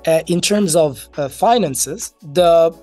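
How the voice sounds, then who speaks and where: A man's voice: a little high, slow, darkish tone, soft background music. A man from Morocco, speaking as an interview guest, casually telling stories and acting out bits of dialogue. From Marrakesh, Morocco. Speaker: interview guest, crypto entrepreneur. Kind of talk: casual conversational storytelling.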